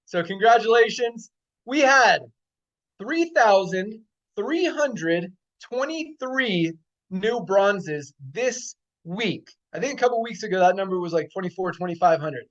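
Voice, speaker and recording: A man's voice: high voice, expressive, unhurried, fairly bright tone, muffled recording. A man hosting a company call, enthusiastically reporting numbers and repeating them for emphasis. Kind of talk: upbeat company update on a video call.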